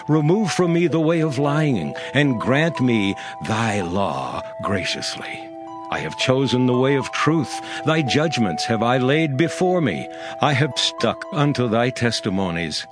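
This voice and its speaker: A man reads scripture dramatically in a mid-range voice. His intonation rises and falls widely over clearly audible music. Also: mid-range voice. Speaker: scripture reader. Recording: reading over a music bed.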